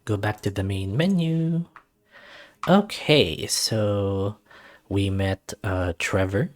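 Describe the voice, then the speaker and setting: A man hosting a let's-read of a visual novel, reading aloud and chatting casually in a soft-hearted, gushing way. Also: fairly low pitch, slow.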